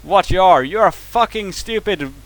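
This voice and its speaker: A man's voice: high-pitched, animated, moderate pace, fairly bright tone. Fired-up Swedish-accented man ranting. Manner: heated, profane, stumbling restarts. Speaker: vlogger reacting to a video. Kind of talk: angry rant to camera.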